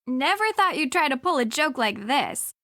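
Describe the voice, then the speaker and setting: A sardonic young sidekick in a video game. Her voice is on the high side, casual and teasing, with a sceptical edge. It is recorded very dry. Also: very animated, normal speed, bright, forceful tone, no room sound, exaggerated voice. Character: sardonic, sceptical young woman, detective's wisecracking friend.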